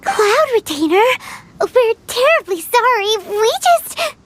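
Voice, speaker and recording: A woman's voice: extremely high pitch, sing-song, slow pace, exaggerated voice. A woman voicing a tiny, chirpy female fairy companion who talks about herself in the third person. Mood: excited. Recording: game audio with loud background music.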